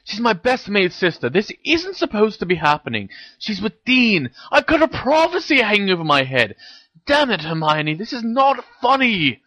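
A sullen teenage boy wizard as a story reader plays him, defensive and petulant. He grumbles with a lot of movement in his pitch. It is a storybook performance, recorded dry. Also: sing-song, moderate pace, dry recording. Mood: distressed, forceful.

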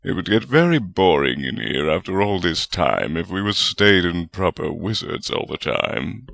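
A man plays a jovial, unrepentant wizard spirit in a storybook reading. The voice is very low and gravelly, with a stubborn, teasing manner. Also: big pitch swings, moderate pace, fairly bright tone, heavily acted. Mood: earnest.

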